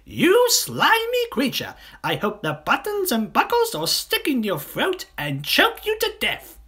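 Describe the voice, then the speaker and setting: A fiery, indignant storybook monkey. The voice leaps very high and shrill and swoops wildly as it cries out in outrage. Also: wildly swooping pitch, measured pace, pushed and bright, exaggerated voice. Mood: outraged. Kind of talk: theatrical children's storybook character voice.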